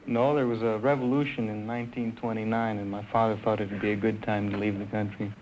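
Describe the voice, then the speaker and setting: A man's voice: on the low side, flat delivery, brisk, darkish tone, slight background noise. A man from El Salvador, a quiz contestant, answering questions politely and briefly. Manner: polite, brief, a little distant from the mic.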